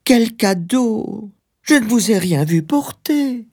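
A man voicing an anxious, wealthy older wife in a storybook, with shrill, imploring, frantically polite speech. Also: expressive, slow pace, creaky, a little mellow, heavily acted. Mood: anxious, exasperated.

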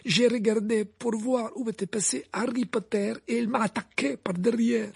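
A man's voice: extremely high pitch, fairly even intonation, moderate pace, vocal fry, breathy edge, no room sound, studio quality, voice pushed up, full character voice. A man voicing a dazed, aggrieved teenage wizard champion who complains falteringly in an audiobook story. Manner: stammering complaint.

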